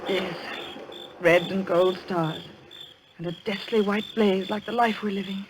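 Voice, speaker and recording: A woman's voice: medium pitch, fairly even intonation, unhurried, close to an everyday voice. Woman acting an anxious, earnest young niece. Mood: foreboding. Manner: earnest, dramatic. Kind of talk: old-time radio drama acting. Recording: noisy old radio recording.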